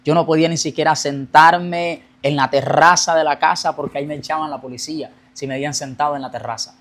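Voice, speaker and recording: A man's voice: fairly high pitch, steady tone, fast talker, a bit bright. A young man telling his personal faith testimony as a guest, earnest, speeding up as he recounts his past. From Colombia.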